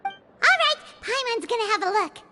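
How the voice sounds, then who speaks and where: A woman voicing a tiny, excitable, childlike fairy girl companion in a video game, with a squeaky voice. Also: normal speed, full character voice. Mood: excited, greedy. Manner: third-person self-reference, exclamatory, greedy eagerness. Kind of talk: game voice acting. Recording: loud background music.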